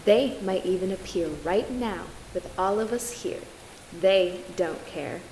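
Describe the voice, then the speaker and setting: A young woman acting as a sardonic, eerie campfire storyteller in a student audio drama, with dry, deliberate delivery. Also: medium pitch, a little mellow, slight background noise, close to an everyday voice, low-quality recording. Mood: ominous, measured. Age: young adult.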